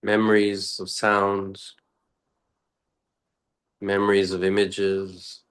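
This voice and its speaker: Deep, slow-speaking man guiding meditation. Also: completely flat delivery, a bit bright, clear, resonant tone. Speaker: meditation teacher. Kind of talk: guided meditation, unscripted and reflective.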